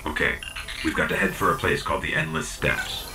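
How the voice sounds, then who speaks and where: A man's voice: rumbling low, steady tone, brisk, over music. A man voicing a small robot companion in a game, earnest and wry as he explains and guides. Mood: busy, wry. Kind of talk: scripted game dialogue.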